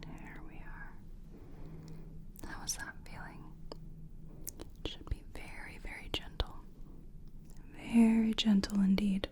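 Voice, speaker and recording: A woman whispering in a breathy, gentle and soothing way, as a practitioner in an ASMR personal attention roleplay. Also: medium pitch, flat delivery. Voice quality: breathy, mostly unvoiced whisper. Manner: gentle, soothing, deliberate, reassuring. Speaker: ASMR performer playing a soul-cleansing practitioner.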